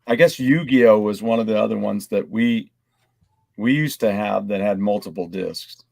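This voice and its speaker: A man's voice: on the low side, moderate pace, gravelly, a little mellow, no echo. Man chatting casually, close dry sound. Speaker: podcast co-host. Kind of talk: casual group chat, reading out items.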